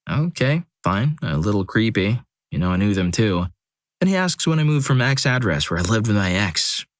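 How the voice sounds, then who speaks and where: A man narrating a compilation of first-person stories in a steady, scripted reading, with dry, wry asides. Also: fairly low pitch, no room sound.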